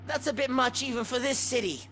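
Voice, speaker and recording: A cynical, defensive gas station keeper in a game cutscene. Her voice sits a little high and sounds sardonic, over a faint humming noise. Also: brisk, a bit bright. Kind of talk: video game cutscene acting.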